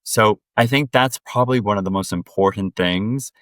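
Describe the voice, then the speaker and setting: A man speaking as a podcast guest in an earnest, direct way, sharing reflections in relaxed conversation and quickening when he presses a point. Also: on the low side, no room sound, clean studio sound.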